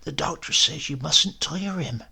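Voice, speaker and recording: Storybook housekeeper, played by a male reader: huffy countrywoman, raised pitch, scolding and bossy. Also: unhurried, vocal fry, sharp tone, husky, full character voice. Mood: fussing. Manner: scolding, indignant.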